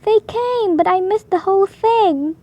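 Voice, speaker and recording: A woman's voice: very high-pitched, unhurried, warm tone, dry recording, lo-fi sound, voice pushed up. A woman voicing an eager, dreamy, earnest little girl in a children's storybook. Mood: excited.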